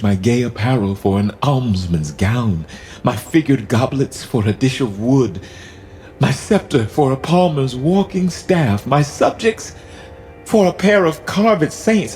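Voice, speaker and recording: A man's voice: mid-range voice, warm tone. A man acting a grieving, bitterly ironic king facing deposition in a Shakespearean radio play, with brooding, theatrical verse delivery. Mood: anguished.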